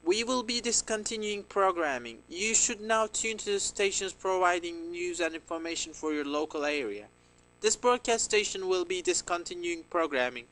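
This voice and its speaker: A man's voice: high voice, lively intonation, normal speed, fairly bright tone, low-quality recording. A man reading a scripted emergency broadcast announcement in a formal manner.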